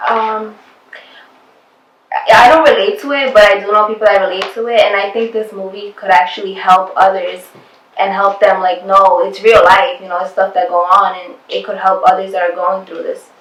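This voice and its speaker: Shy female cast member, mid pitch, roomy and off-mic. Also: flat delivery, normal speed. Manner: shy, soft-spoken. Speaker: actress in the film. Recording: roomy, distant, faint noise.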